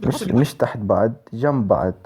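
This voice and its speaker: Male tutorial presenter, Levantine accent, mellow tone, casual step-by-step delivery, slightly noisy home recording. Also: mid-range voice, expressive, fairly quick. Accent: Levantine Arabic. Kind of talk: casual spoken app walkthrough.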